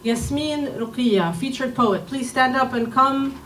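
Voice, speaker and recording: A woman's voice: high voice, a little slow, fairly bright tone, a little hiss or hum. A woman hosting an open mic at a community arts center, speaking into a podium mic in a chatty, encouraging way as she introduces the featured poet. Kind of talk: event emcee introduction. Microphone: podium mic on a stand. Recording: echoey room, mic heard from a distance.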